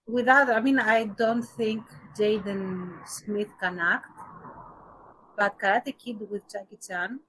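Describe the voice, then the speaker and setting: A woman's voice: mid-range voice, fairly even intonation, slow, a bit bright, slightly rough, rough recording. A woman co-hosting a podcast, casually giving her opinions and repeating words as she forms her thoughts. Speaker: podcast co-host.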